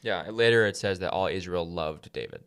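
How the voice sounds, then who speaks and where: A man's voice: sits low, fairly quick, fairly bright tone, clean tone. A man co-hosting a podcast, casually talking through Bible passages in an explanatory way and repeating phrases for emphasis. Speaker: podcast co-host.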